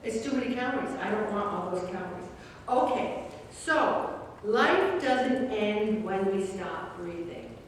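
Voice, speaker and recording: A woman's voice: medium pitch, animated, a little slow, a little hiss or hum. A woman in her seventies leading a faith-based weight loss group, telling chatty, humorous stories. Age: 70s. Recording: echoey hall, distant mic, faint ambience.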